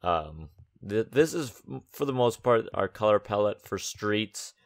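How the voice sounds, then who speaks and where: A man with a slightly raspy voice, recovering from losing it, giving casual, unscripted gaming commentary as he thinks aloud. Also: lowish voice, animated, a little slow, dry recording, clean studio sound. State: recovering from a lost voice. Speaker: host of a gaming let's-play series. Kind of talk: casual game build commentary.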